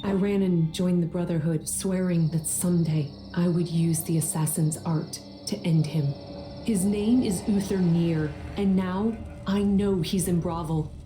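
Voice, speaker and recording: A woman playing a vengeful, resolute leader of assassins in a video game, speaking in a measured, dramatic way. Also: lowish voice, fairly even intonation, music in the background, natural voice. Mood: grim resolve.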